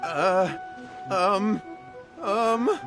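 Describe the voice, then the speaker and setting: A man's voice: high voice, extremely slow, music in the background, exaggerated voice. An actor playing a nervous young man in a game, stammering and evasive. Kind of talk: game voice acting.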